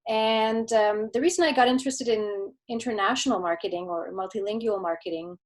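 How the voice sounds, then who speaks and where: Middle-aged woman, thoughtful and halting. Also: medium pitch. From Canada, Quebec. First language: German. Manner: thoughtful, many false starts and filler words. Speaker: guest expert. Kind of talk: conversational interview answers.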